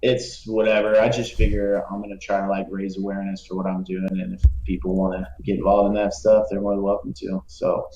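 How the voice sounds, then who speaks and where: A man's voice: low-pitched, flat delivery, fairly quick, few pauses, dark tone. A man speaking as a guest artist in casual chat, modest and hesitant. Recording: muffled, echoey online call with hiss.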